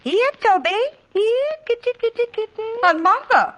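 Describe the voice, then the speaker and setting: A domineering niece in an old radio horror play. The voice is high and very animated, sugary on the surface and scolding underneath. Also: female voice, normal speed, a little hiss or hum, heavily acted. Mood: bossy. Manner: bossy, sharp, questioning.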